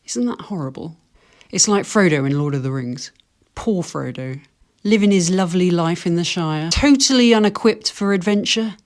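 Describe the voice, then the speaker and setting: A woman's voice: on the low side, expressive, normal speed, darkish tone. A woman presenting a wry video essay to camera, with dry, self-deprecating humour. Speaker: video host, fiction writer.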